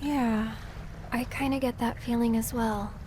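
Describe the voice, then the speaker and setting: An actress playing a gentle, spirited young woman adventurer in a video game, soft and expressive. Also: on the high side, unhurried, background noise, lightly acted. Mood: tender.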